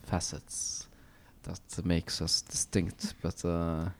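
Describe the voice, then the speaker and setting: A man co-hosting a podcast, chatting casually, teasing his co-host and often pausing on fillers. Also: sits low, little pitch movement, gravelly, slight background noise. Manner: teasing, hesitant, full of fillers. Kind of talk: casual chat.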